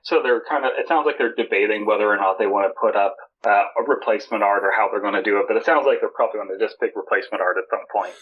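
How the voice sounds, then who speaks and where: Husky man ranting casually, slightly dull audio. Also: on the low side, racing, few pauses. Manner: animated, sweary, mimics imagined people in rants. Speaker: podcast co-host. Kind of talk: casual podcast banter.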